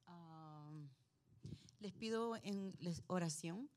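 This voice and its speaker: A woman giving a halting personal testimony as a missionary guest at a church. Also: fairly low pitch, darkish tone.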